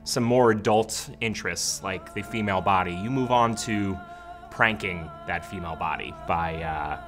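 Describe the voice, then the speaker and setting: A man speaking as a mock-documentary interviewee, with a deadpan air of authority. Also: low voice, moderate pace, music in the background. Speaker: comedian talking head.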